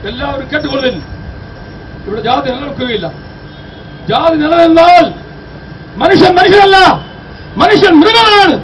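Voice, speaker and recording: A man delivers a declamatory public speech in an extremely high pitch. He exhorts the crowd with rhetorical questions. The mic sounds distant, with faint outdoor street noise behind him. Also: normal speed, long pauses. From Kerala, India. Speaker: activist, public speaker. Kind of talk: impassioned public address.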